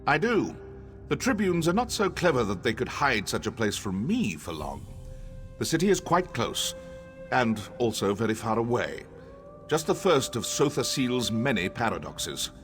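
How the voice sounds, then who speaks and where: A man's voice: mid-range voice, big pitch swings, normal speed, close to an everyday voice. A man as an arrogant, theatrical sorcerer with swooping intonation. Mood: haughty, cryptic. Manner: theatrical, condescending, dryly sardonic. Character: arrogant, cryptic elven sorcerer scholar, wry and scheming. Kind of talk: scripted video game dialogue. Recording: music under the voice.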